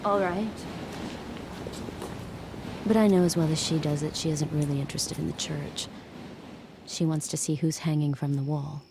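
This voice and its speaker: A woman playing a subdued, watchful handmaid in an audio drama, narrating her thoughts in a measured, hushed, introspective way. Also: sits low, fairly even intonation, creaky, background noise. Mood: guarded, pensive. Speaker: lead actor and first-person narrator. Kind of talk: audio drama, intimate literary narration and dialogue. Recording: music under the voice.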